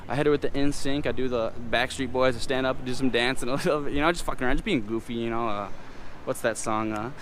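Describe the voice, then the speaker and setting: A man telling a date story in a casual, animated way, swearing now and then. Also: medium pitch, quick pace, a little hiss or hum. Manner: animated, swears casually, acts out voices in quotes.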